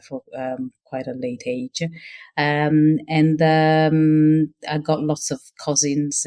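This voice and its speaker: Here is a middle-aged woman, talking as a guest in a relaxed interview. Her voice sits very low for a woman. She hesitates often, filling gaps with "um" and starting phrases over. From Rome, Italy. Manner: hesitant, with many fillers and restarts. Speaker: guest, cookbook author. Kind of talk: casual interview talk.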